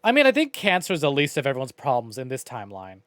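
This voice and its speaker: A man's voice: mid-range voice, huge pitch swings, quick pace, fairly bright tone, no room sound. A male streamer reading a visual novel aloud, with playful, wry asides. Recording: game music behind the voice. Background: clear game music.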